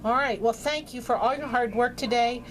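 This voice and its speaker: A woman's voice: a little high, moderate pace, noisy background. A woman conducting an informal interview, asking questions in an attentive, appreciative manner.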